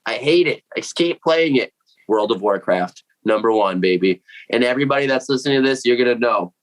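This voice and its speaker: A man's voice: mid-range voice, lively intonation, a little fast, rough recording. A man in his late twenties, from Puerto Rico, chatting casually and animatedly at home, full of slang and mild swearing, acting out his own reactions. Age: 20s. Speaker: metal vocalist interviewee. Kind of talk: casual chat to camera. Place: home room with cats.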